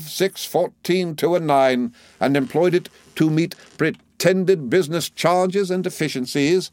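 Pompous middle-aged gentleman played by a male narrator, raised pitch, grandiloquent, savouring every formal phrase, classic novel audiobook. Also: no room sound, close to an everyday voice. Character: pompous, florid middle-aged man triumphantly reading out an accusation.

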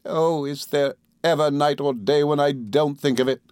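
Storybook heroine, played by a man: desperate, remorseful young woman, soft raised pitch, tearful pleading, dry sound. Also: fairly even intonation, moderate pace, smooth, dry recording, close to an everyday voice. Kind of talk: dramatised classic novel reading.

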